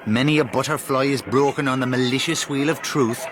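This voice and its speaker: A man's voice: mid-range voice, fairly even intonation, fairly quick, natural voice. An actor playing a gossipy, folksy Irishman with a wry turn of phrase in a radio drama. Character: gossipy, wry Dublin man, folksy philosopher. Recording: clear background noise, outdoor ambience.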